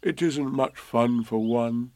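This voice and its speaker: A man voicing a gentle, simple bear in a storybook reading, talking slowly and softly. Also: medium pitch, dry recording, lightly acted. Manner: slow soft drawl.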